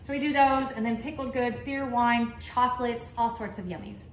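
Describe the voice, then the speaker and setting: A woman's voice: a little high, normal speed. A woman with a Texas accent, from Texas, chatting enthusiastically as she teaches a cheese tasting class. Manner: chatty and enthusiastic, folksy asides. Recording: echoey room, faint background noise.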